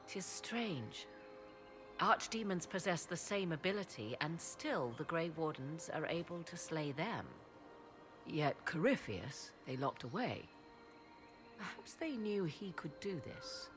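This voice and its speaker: Fantasy game sorceress, very low female voice, haughty, enigmatic, archaic formal speech, music behind. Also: animated, slow. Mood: measured, grave. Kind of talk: dramatic fantasy game voice acting. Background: game soundtrack music.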